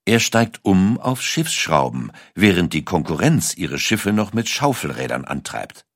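A man's voice: low voice, big pitch swings, a little slow, dry recording, studio quality. A man reading a scripted documentary narration as its narrator.

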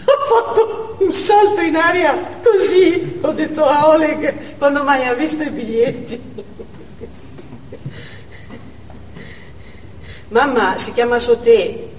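A woman playing a devoted, excitable working mother in a chatty theatrical monologue. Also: sits high, slow pace, pauses often. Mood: excited, amused. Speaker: stage actor. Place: indoor theatre stage, recorded from afar. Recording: distant, echoey hall with noticeable noise.

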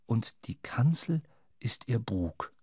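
A man playing a reflective seafaring storyteller, narrating a radio play in a measured, literary way. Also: medium pitch, big pitch swings, a little mellow, slightly rough, dry recording, studio quality, full character voice.

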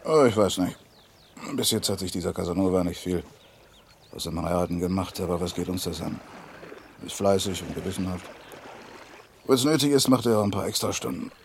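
Radio play, flirtatious suitor: lowish register, persuasive, easygoing, conversational. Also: male voice, brisk, pauses often, a little hiss or hum. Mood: teasing, relaxed. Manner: jovial, persuasive. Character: charming, easygoing male suitor, flirtatious and teasing. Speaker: actor in a radio crime drama. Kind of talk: German radio play acting, conversational dialogue.

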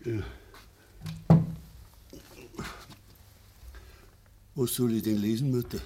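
A gruff Bavarian peasant son, played by a man in a radio drama, in a mid-range voice. He is bitter and short-tempered, with a sarcastic edge. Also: mid-range voice, steady tone, fast, warm tone, a little hiss or hum, natural voice. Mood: subdued. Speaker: actor in a radio play. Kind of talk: German radio drama acting.

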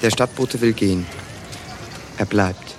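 An actor as a detached narrator, reading stage directions tersely and matter-of-factly in a radio play. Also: male voice, fairly low pitch, unhurried, background noise, close to an everyday voice. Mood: detached, factual. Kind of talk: scripted narration.

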